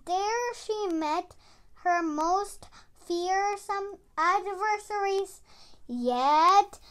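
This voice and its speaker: A storybook reader with a dramatic, expressive delivery, reading a story aloud. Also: very high voice, drawn-out words. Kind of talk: animated storybook read-aloud.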